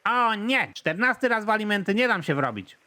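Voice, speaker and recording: A man's voice: sits high, sing-song, normal speed, bright, forceful tone, exaggerated voice. A man voicing a defensive, pompous male deadbeat father who justifies himself at length. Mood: indignant. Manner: indignant, long-winded self-justifying.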